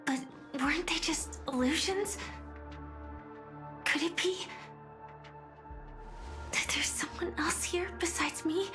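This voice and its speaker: A woman playing a gentle young female soldier lost in a haunting dream in a video game, speaking in halting, pensive murmurs. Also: very high-pitched. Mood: bewildered, uneasy. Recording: music behind the voice. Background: background music.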